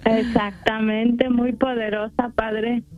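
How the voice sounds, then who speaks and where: Mujer mexicana lenta por teléfono. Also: female voice, fairly high pitch, level tone, music in the background. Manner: slow, hesitant, grateful. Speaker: radio caller. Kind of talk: phone call to a radio show. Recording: tinny phone line.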